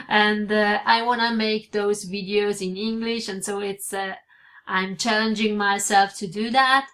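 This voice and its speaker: Slow hesitant Italian-accented woman. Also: fairly high pitch, sharp tone. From Switzerland. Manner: hesitant, many fillers and restarts. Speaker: vlogger. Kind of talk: casual vlog to camera. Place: home office with bookshelves, indoors. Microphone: webcam at desk.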